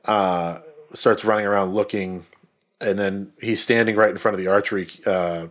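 A man's voice: on the low side, fairly even intonation, normal speed, a bit bright. A man co-hosting a podcast, recapping a film in casual, joking, sweary talk. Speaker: podcast co-host.

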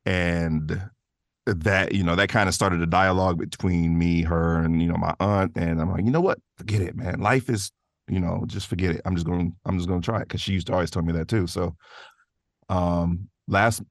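A man's voice: low voice, fairly even intonation, moderate pace, dry recording, studio quality. A man talking casually and thoughtfully as a guest in an interview about his work, often restarting his phrases. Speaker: voice actor, podcast guest. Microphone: close studio microphone in a vocal booth.

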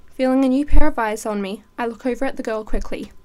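Teenage female student writer, higher-pitched, dramatic first-person narrative reading. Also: quick pace, a little mellow.

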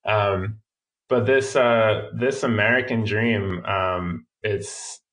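A man being interviewed as a filmmaker, speaking in a thoughtful, halting way with frequent restarts. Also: on the low side, steady tone, fairly bright tone, poor audio. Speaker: filmmaker guest. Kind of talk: interview conversation.

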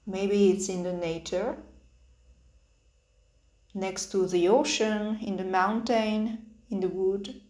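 A woman leading a guided meditation with spoken instructions in halting phrases, pausing often between them. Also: mid-range voice, steady tone, a little mellow. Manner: hesitant, halting phrases with long pauses. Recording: roomy, echoing sound.

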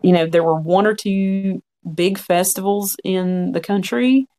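A man's voice: sits high, steady tone, measured pace, mellow, a little hiss or hum. A man in his forties, a podcast guest, telling his story in a frank, earnest conversational way. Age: 40s. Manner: frank, earnest, quotes own past thoughts.

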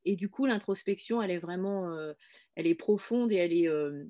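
A woman's voice: fairly low pitch, fairly quick, dark tone. A woman hosting a casual conversation, speaking in an encouraging, thoughtful way.

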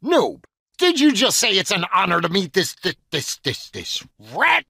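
A man playing a gruff, hot-tempered hunter in a parody radio drama, growling terse lines in a gravelly, husky voice. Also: high-pitched, huge pitch swings, measured pace, pushed and bright, heavily acted. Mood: outraged.

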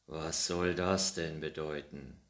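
A man's voice: a bass voice, sing-song, a little slow, gravelly, exaggerated voice. A man voicing an absent-minded, tidy old farmer who grumbles and scolds his cat in a storybook reading. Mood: scolding. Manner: loud, grumbling, muttering hm.

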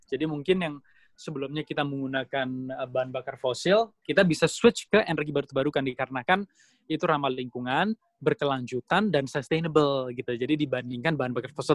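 A man's voice: fairly high pitch, no room sound. A young man from Indonesia, a guest in an interview, explaining at length with many fillers and restarts. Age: young adult. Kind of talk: casual interview talk.

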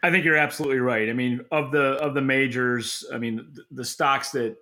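A man's voice: mid-range voice, steady tone, fairly quick, sharp tone. A man co-hosting a podcast, giving casual market commentary in a blunt, wry way. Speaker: podcast co-host.

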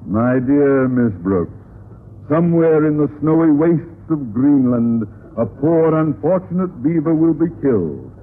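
An actor playing a pompous, blustering middle-aged husband and school principal in a theatrical 1950s radio sitcom style. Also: male voice, a little high, animated, a little slow. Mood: smugly triumphant. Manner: pompous, grandiloquent. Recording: tinny, noisy old radio recording.

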